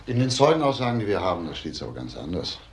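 An actor playing a calm, probing police detective in a crime drama, questioning in a dry, insistent way. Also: male voice, lowish voice, quick pace, slight background noise.

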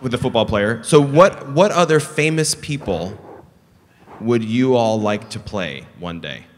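Guy hosting a stage panel, lively voice, big echoey theater. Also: medium pitch, slight background noise. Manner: brisk, prompting questions. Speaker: panel moderator. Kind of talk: onstage panel interview. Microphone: handheld mic. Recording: reverberant theater hall.

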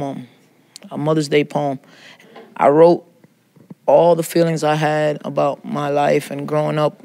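A man's voice: a little high, creaky, darkish tone, dry recording. A man speaking candidly and personally in a conversational discussion. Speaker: prison poetry student.

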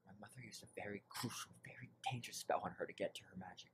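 Very low, brooding young unicorn played by a woman. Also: rapid-fire, whispered. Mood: anxious. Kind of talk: fan cartoon voice acting. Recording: faint noise, played-back web video.

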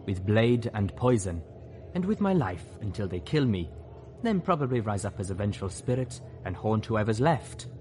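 A man voicing a gloomy, menacing female zebra fighter who speaks gravely and slowly. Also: on the low side, sing-song, a little mellow, a little hiss or hum. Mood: grave, morose. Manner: grave, slow, mumbling.

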